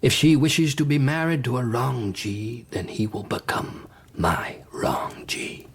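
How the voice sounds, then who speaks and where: An actor playing a dignified, menacing crime boss in a radio drama, husky-voiced, formal and deliberate. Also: male voice, medium pitch, big pitch swings, exaggerated voice. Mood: grave, protective. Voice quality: husky, raspy. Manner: deliberate, formal, coldly controlled. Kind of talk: radio detective drama acting.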